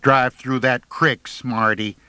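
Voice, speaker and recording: A man voicing a mean, snappish teenage backwoods girl with a squeaky little voice in an audiobook story. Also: fairly even intonation, slow, bright, forceful tone, clear, resonant tone, no room sound. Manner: snapping, curt, rustic grammar.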